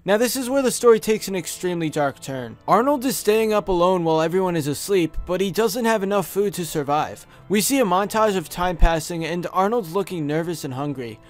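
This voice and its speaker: A man with a noticeably high voice narrates scripted horror stories as a video host. He speaks close to the microphone. Faint music plays behind him. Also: animated, fairly quick, hardly any pauses. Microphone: close studio USB microphone.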